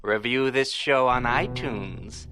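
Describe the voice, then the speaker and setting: A man's voice: mid-range voice, slow. A man reading a scripted, teasing podcast outro as announcer.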